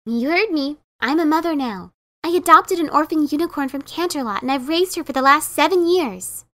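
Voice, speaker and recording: A woman's voice: high-pitched, lively intonation, normal speed, fairly bright tone. A woman voicing a blunt, resentful pegasus pony mother in a fan dramatic reading, scolding and incredulous. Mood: firm, proud.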